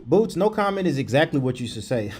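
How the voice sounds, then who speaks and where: A man's voice: on the high side, sing-song, moderate pace, loud music behind the voice. A man informally reading out online comments and adding his own asides.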